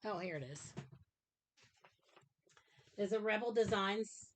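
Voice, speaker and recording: A woman's voice: medium pitch, takes it slowly, slight background noise. A middle-aged woman chatting casually in her home craft room, rambling with frequent ums and uhs, her voice dry after a sinus infection. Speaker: craft vlogger. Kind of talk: casual show-and-tell chat.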